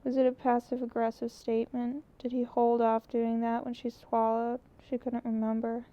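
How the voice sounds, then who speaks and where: A woman narrating a novel in a measured, even delivery with occasional slips. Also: fairly high pitch. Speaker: audiobook narrator. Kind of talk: novel reading. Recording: muffled lo-fi recording.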